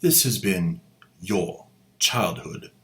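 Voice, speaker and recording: Lower-voiced man with wry commentary. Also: animated, slow pace, a bit bright. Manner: wry, mocking asides. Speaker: host. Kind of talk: sarcastic commentary.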